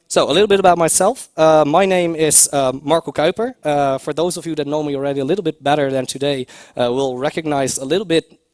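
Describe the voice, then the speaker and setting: A man giving a conference talk, a little hoarse from a cough, hesitant with many fillers and restarts. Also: on the high side, no echo. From Netherlands. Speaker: conference speaker. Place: lecture room at a tech conference.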